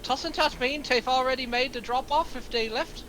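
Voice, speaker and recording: A man's voice: extremely high pitch, moderate pace, pushed and bright, a little hiss or hum. A man playing an anxious, foul-mouthed man on a stakeout in a script reading, with an urgent, exasperated manner. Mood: alarmed, urgent. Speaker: actor at a table reading.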